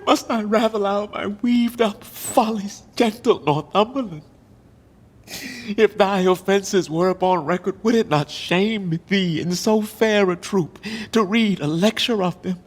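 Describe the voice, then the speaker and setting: A man acting a deposed English king in Shakespearean verse, grieving and bitterly ironic, with a theatrical, deliberate delivery. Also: high-pitched. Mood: wounded outrage. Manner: theatrical, deliberate, swinging from hushed to declaiming. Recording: long reverberant hall.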